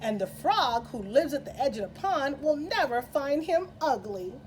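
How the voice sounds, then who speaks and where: A woman's voice: sits high, big pitch swings, normal speed, a little mellow, a little hiss or hum, pitched above the performer's own voice, poor audio. A woman voicing a sad, self-doubting little boy cricket in a plaintive storybook read-aloud. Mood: plaintive, wistful. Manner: plaintive, protesting.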